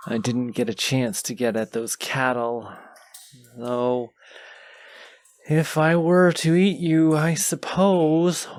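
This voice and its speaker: Man voicing a haughty, very slow-talking menacing dragon. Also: mid-range voice, level tone, close to an everyday voice. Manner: slow, deliberate, pompous, savoring threats. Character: menacing, haughty wounded dragon guarding its hoard. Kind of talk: fantasy audio roleplay acting.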